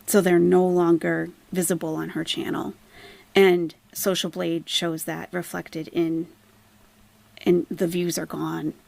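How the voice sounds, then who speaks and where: A woman's voice: on the low side, flat delivery, slow pace, gravelly, a little mellow. A woman hosting a casual live commentary stream, explaining things emphatically to viewers and asking rhetorical questions. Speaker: livestream host, YouTube commentator.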